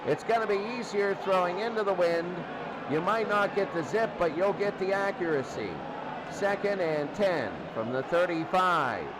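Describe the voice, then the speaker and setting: A man calling football play-by-play, getting excitable when the action picks up. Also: high-pitched, moderate pace. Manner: excitable on big plays. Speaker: play-by-play sports commentator. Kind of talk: American football game commentary. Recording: clear background noise.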